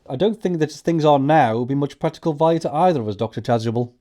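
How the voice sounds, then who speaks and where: Man acting a brisk upper-class English gentleman. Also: on the high side, natural voice. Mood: resigned. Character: earnest, formal young English gentleman suitor. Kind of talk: stage comedy audiobook acting.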